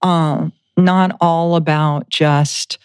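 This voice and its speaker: An American woman talks as an interview guest in a smooth, clear voice with a dry, close sound. Her delivery is conversational, earnest and slightly hesitant. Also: deep voice, steady tone, drawn-out words, no echo. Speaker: foundation board president, guest.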